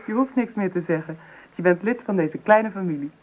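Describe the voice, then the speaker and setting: A woman's voice: lowish voice, brisk, darkish tone, lightly acted, low-quality recording. Woman acting a firm, businesslike wife in radio drama. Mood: welcoming, practical. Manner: firm, matter-of-fact, direct. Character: assertive, businesslike wife and mother, cool and composed.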